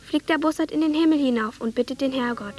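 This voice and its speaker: Radio play lead: caring young village girl, voiced by a woman, high pitch, patient and coaxing, music behind her. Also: quick pace, a little mellow, natural voice. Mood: storytelling. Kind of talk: German radio drama acting. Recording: studio radio drama with music.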